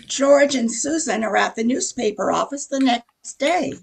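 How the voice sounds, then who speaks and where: A woman's voice: on the high side, expressive, measured pace, vocal fry, breathy edge, faint music behind. An older woman reading scripted narration for a radio play over a video call. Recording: faint noise on video call.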